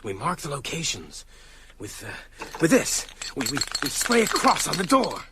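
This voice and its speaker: A man's voice: on the high side, wildly swooping pitch, moderate pace, noisy background. An actor playing an anxious, earnest man hunting vampires in an audio drama, urgent and emphatic as he reasons. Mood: urgent, resolute. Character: earnest, anxious male friend turned methodical vampire hunter.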